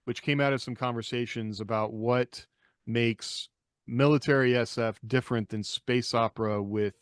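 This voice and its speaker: A man's voice: mid-range voice, clear, resonant tone, dry recording, clean studio sound. A man hosting a podcast in a casual, informal, anecdotal chat style. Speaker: podcast host.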